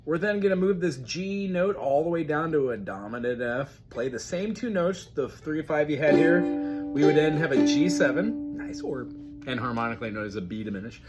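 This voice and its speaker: Chatty man teaching ukulele over loud strumming. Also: on the high side, expressive, moderate pace, loud music behind the voice. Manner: friendly, chatty, explains chords while playing and singing along. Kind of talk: casual music lesson with singing. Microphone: recorded alongside his own ukulele playing.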